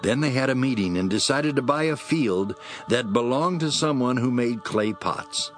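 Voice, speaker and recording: A man's voice: mid-range voice, expressive, normal speed, soft background music. A man narrating scripture as a scripted dramatic reading.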